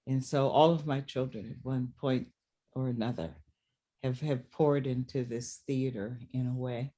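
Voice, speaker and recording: A middle-aged host leads a post-show talk at an unhurried pace. The delivery is reflective and halting, with words often repeated while searching for the next thought. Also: mid-range voice, steady tone, dark tone. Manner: reflective, halting with word repetitions. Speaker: theater director and discussion host.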